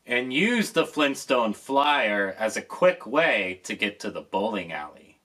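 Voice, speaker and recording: A man's voice: on the low side, sing-song, measured pace, bright, forceful tone. A man hosting a nostalgic commentary, talking about an old show with enthusiasm and emphasis. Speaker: host.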